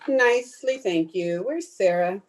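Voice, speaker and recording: A woman's voice: mid-range voice, animated, unhurried. A woman playing a blunt, disapproving cousin in old-time radio drama, prim and cutting. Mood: prim, formal. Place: at home, recorded over video call.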